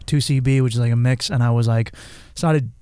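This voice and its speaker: A man's voice: mid-range voice, steady tone, a little fast, mellow, clear, resonant tone, no echo, studio quality. A man hosting a podcast, speaking in casual conversation, candid and profane, telling stories and acting out quoted lines. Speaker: podcast host, comedian.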